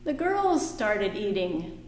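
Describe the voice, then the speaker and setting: A woman hosting a library storytime for children, singing little songs and reading a picture book aloud in a slow, expressive way. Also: mid-range voice, far from the mic, slight background noise. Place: library room. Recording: echoey room, voice from afar.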